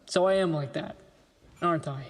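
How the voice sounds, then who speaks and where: A woman's voice: deep voice, moderate pace. A woman playing an insecure young male soldier in a fantasy audio drama, hesitant and stammering. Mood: unsure, vulnerable. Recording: long hall reverb.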